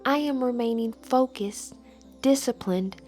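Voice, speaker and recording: A woman leading a guided meditation and affirmations in a soft, breathy, soothing voice with measured, deliberate phrasing. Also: on the high side. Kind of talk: slow guided meditation narration with spoken affirmations. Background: background music.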